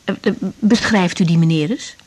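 A woman's voice: lowish voice, normal speed, a little mellow, breathy edge. A woman acting in a radio drama as a cautious young doctor, polite and probing, with hesitant speech. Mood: probing, uneasy. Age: young adult. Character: cautious young female doctor questioning a patient.

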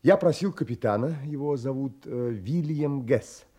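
An actor playing an earnest, determined young gentleman in a dramatised audiobook, with expressive, literary delivery. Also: medium pitch, moderate pace, slightly rough, close to an everyday voice. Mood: earnest, urgent. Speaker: actor narrating and playing the lead.

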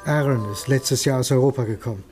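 Mellow-voiced, guarded farmhand played by a man. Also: mid-range voice, fast, faint music behind, lightly acted. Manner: guarded, curt, reluctant. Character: reluctant, wary rural farmhand giving a tip. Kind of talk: acted dialogue in a crime radio play.

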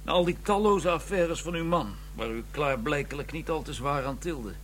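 An actor with a Netherlands Dutch accent playing a blunt, wry chief inspector in a radio drama, dryly persistent. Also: male voice, a little high, lively intonation, normal speed, fairly bright tone, a little hiss or hum. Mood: probing.

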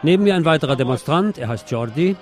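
A man's voice: on the high side, expressive, fast talker. A man reporting for radio and reading out translated interview answers. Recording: street crowd behind the voice. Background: outdoor urban crowd noise.

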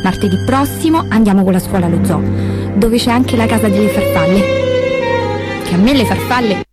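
A woman's voice: medium pitch, animated, fairly quick, close to an everyday voice. A woman playing a chatty, dreamy teenage schoolgirl in a confiding, hesitant theatre monologue. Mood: musing. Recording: music behind the voice.

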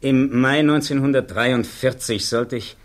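A self-assured army colonel played by a male actor gives testimony in a radio drama. His pitch sits in the middle, and his answers are blunt, factual and confident. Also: measured pace, close to an everyday voice. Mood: matter-of-fact. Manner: matter-of-fact, clipped, confident answers. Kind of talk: radio play acting, courtroom testimony.

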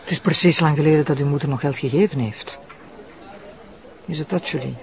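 A woman's voice: low-pitched, brisk, a little mellow. A woman playing a persistent public prosecutor in a TV drama, polite but insistent as she questions, with a Flemish accent. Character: probing, persistent female public prosecutor posing as journalist. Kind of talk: naturalistic TV drama acting. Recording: noisy background ambience.

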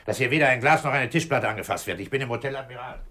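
An actor playing a calm, commanding police inspector with curt, unhurried authority in a 1960s German radio crime drama. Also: male voice, medium pitch, natural voice. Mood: firm, terse. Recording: hissy old radio play recording.

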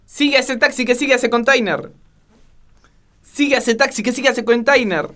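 A man's voice: very high-pitched, normal speed, bright, forceful tone, pitched above the performer's own voice. A man voicing an insistent character barking orders in a radio chase parody. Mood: urgent, insistent.

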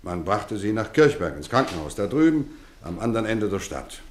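A middle-aged man playing a kindly but strict boarding-school housemaster in a 1950s radio play, talking warmly with measured, gently teasing phrasing. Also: on the low side, sing-song, slight background noise. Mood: storytelling. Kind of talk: 1950s radio drama acting. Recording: roomy studio echo.

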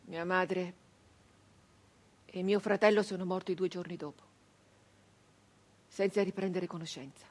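An actress playing a grieving, traumatized 19th-century peasant woman in a theatrical radio monologue, halting and intense, with breathy speech that can sink toward a whisper. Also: on the low side, fairly even intonation. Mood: mournful, subdued. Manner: halting, intense, repeating phrases obsessively.

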